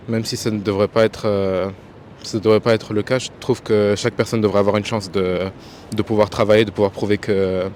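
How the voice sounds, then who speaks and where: A young man from Morocco, answering a street interviewer earnestly with hesitant pauses, outdoors on a city street. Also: on the low side, a little mellow, a little hiss or hum.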